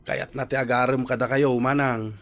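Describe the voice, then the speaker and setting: An actor playing an earnest young nephew in a radio drama, with a conversational, coaxing way of talking. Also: male voice, mid-range voice, level tone, normal speed, a bit bright, close to an everyday voice. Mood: questioning. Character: earnest young nephew nudging his shy uncle. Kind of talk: radio drama acting in Ilocano.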